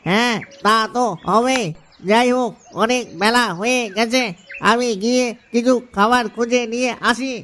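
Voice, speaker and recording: A man's voice: very high-pitched, little pitch movement, normal speed, bright, forceful tone, a little hiss or hum. A man voicing a devoted male duck, an earnest husband and expectant father, in a cartoon story. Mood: doting. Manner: earnest, doting.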